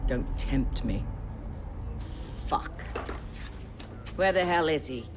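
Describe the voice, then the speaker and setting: A woman's voice: low-pitched, lively intonation, drawn-out words, over music, exaggerated voice. Ruthless British female spy chief. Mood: tense, curt. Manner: blunt, commanding, sweary. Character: ruthless, foul-mouthed female intelligence chief, coldly commanding. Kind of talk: video game cutscene acting.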